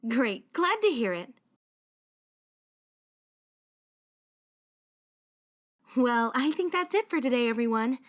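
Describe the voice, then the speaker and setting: Woman acting a high, lively caring schoolgirl club leader. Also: moderate pace, natural voice. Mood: cheerful, encouraging. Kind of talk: fan-made visual novel voice acting.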